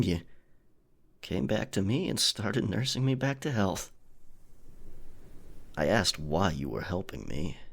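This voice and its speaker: Man playing a slow-talking, low-voiced charming pirate captain. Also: full character voice. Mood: animated, fond. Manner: teasing, tender, roguish pirate talk. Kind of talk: romantic audio roleplay spoken to the listener.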